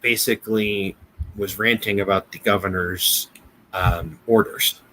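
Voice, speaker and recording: Halting American man, lower voice, noisy recording. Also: fairly even intonation, pushed and bright. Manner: halting, repeats words and restarts often. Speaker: business podcast co-host. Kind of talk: casual business discussion. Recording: noticeable background noise.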